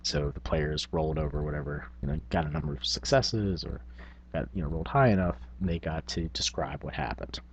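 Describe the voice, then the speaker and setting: A man's voice: a bass voice, brisk, slight background noise. A man hosting a tabletop gaming vlog, talking casually in a rambling, self-effacing, unscripted way. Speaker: tabletop gaming video host.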